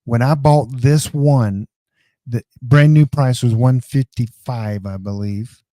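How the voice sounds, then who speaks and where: A man's voice: medium pitch, slow pace, dark tone, no room sound. A man with an American accent hosting a casual live stream, rambling through tech advice.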